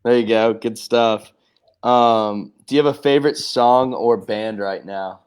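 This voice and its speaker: A man's voice: medium pitch, unhurried, a little mellow, clean tone. A man with an American accent hosting a casual interview in a laid-back, affirming way. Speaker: interview host.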